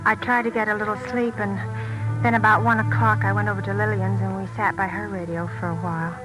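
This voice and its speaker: An actress playing an earnest pilot's wife in a 1940s radio drama, narrating a letter intimately and reflectively. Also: female voice, mid-range voice, level tone, quick pace, natural voice, low-quality recording. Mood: matter-of-fact. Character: earnest wartime pilot's wife writing to her husband. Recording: old 1940s radio recording with music.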